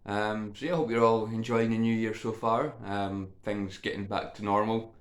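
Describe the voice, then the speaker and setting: Echoey, low-voiced man with a slight cold. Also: level tone, moderate pace. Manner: informal, hesitant, meandering delivery. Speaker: video game vlogger. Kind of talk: casual rambling chat to viewers. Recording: echoey untreated room.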